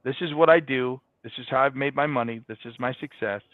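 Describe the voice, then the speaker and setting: A man's voice: medium pitch, fairly quick, no room sound. A man giving conversational, explanatory answers as a guest in a business interview. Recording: dull, band-limited, dry sound.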